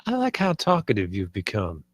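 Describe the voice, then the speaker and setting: A man plays a pragmatic space crewman in a sci-fi script read. His mid-range voice is lively in pitch, wary and practical, and recorded dry and close. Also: moderate pace, dry recording. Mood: dry, skeptical. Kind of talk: screenplay table read acting.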